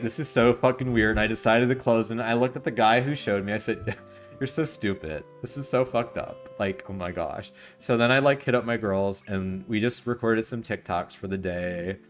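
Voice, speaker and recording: A man voicing a sassy young valley girl who gushes and drawls with vocal fry. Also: medium pitch, lightly acted.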